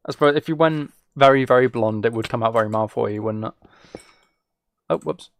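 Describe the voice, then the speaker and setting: A man's voice: medium pitch, normal speed, no echo. A British man streaming a game and chatting casually with viewers, musing aloud in an informal, rambling way. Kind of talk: casual chat while playing a game.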